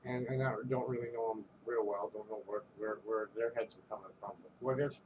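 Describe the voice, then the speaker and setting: A man's voice: fairly low pitch, steady tone, brisk, highs cut off, a little hiss or hum. A man ranting to camera in a casual vlog, rambling and profane, stumbling over restarts and chuckling between phrases. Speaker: vlogger.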